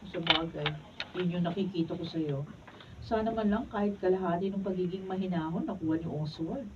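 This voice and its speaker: A woman's voice: on the low side, brisk, far from the mic, slight background noise, lightly acted. Woman acting an exasperated Filipino wife, muffled. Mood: worried, reproachful. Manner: scolding, pleading. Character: worried, exasperated wife of a reckless policeman. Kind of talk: Filipino radio drama acting.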